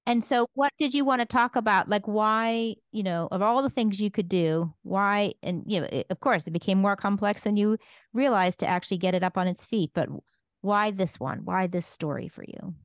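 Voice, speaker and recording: A woman's voice: medium pitch, moderate pace, clear, resonant tone. A woman asking casual, conversational questions as a podcast interviewer.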